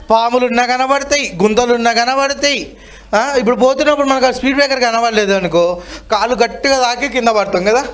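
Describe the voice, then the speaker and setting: A man's voice: very high-pitched, fairly bright tone. A man teaches a children's Bible lesson interactively, asking questions and prompting repetition. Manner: asks questions and has children repeat.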